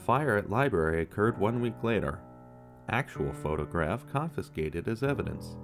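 A man narrating a scripted true-crime podcast as its host, with measured storytelling and dramatic pauses. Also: on the low side, expressive, over music.

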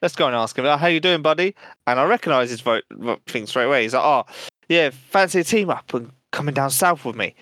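A man's voice: medium pitch, animated, fairly quick, a bit bright, no room sound, studio quality. A man co-hosting a gaming podcast, telling stories casually with frequent swearing and laughter. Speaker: podcast co-host.

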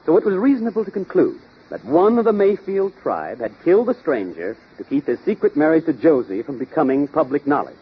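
A man's voice: a little high, big pitch swings, brisk, few pauses, full character voice. An actor playing a clever, methodical young detective in 1930s radio drama, explaining his logic step by step in a theatrical way. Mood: confident reasoning. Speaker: detective lead and quiz host. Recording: husky, muffled 1930s radio recording.

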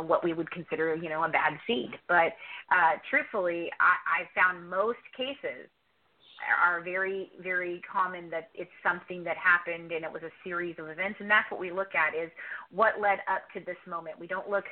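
A woman giving long, explanatory answers as a podcast co-host being interviewed, talkative and conversational. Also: fairly low pitch. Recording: narrow tinny phone line.

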